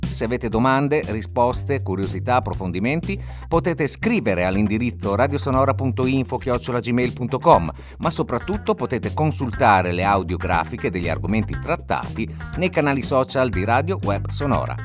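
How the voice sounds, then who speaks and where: A man's voice: mid-range voice, sing-song, moderate pace, hardly any pauses, over music. A man hosting a podcast, giving scripted explanatory narration in a didactic way, speaking directly to the listener. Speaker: podcast host.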